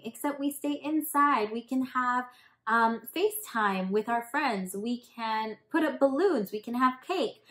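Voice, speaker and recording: A young adult woman talking casually and directly to children as a teacher hosting story time, in an upbeat, animated way. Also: on the high side, roomy sound. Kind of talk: casual talk to children about a picture book. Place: small indoor room in front of a hanging tapestry.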